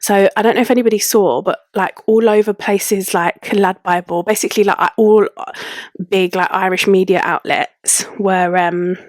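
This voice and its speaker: A woman's voice: mid-range voice, fairly even intonation, moderate pace, no echo. Chatty young London woman, close dry sound. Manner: chatty, informal, slangy. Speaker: podcast host. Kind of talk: casual solo podcast chat.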